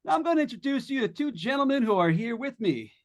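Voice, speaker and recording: Man acting a high-pitched, animated radio showman. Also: fast talker, very noisy. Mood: showy, upbeat. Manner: chatty, folksy showman delivery. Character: breezy, joking male radio host hunting ghosts. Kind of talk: 1940s live radio drama acting.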